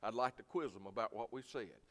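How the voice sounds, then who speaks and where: A man's voice: on the low side, fairly quick. Preacher man in a reverberant hall. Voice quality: loud voice. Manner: folksy, plainspoken, emphatic, swinging from quiet asides to loud exhortation. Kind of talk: sermon.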